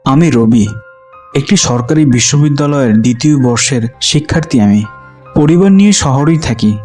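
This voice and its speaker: A man's voice: mid-range voice, expressive, a little fast, a little mellow, faint music behind, natural voice. A man playing a young university student who tells his ghostly tale in dramatic, suspenseful first-person narration. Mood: scene-setting. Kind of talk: scripted first-person horror narration with acted dialogue.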